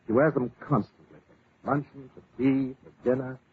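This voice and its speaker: An actor playing a blustering, hesitant English lord with an upper-class British accent in 1940s radio drama style. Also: male voice, medium pitch, steady tone, moderate pace, husky. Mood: flustered. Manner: hesitant, stammering, indignant. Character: flustered, blustering wealthy English lord, devoted to an actress. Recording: noisy, tinny 1940s radio broadcast.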